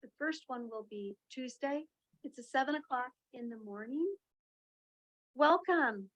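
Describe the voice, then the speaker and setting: A woman chairing a meeting in a chatty, welcoming way, with many fillers. Her voice sits a little high. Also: measured pace, fairly bright tone.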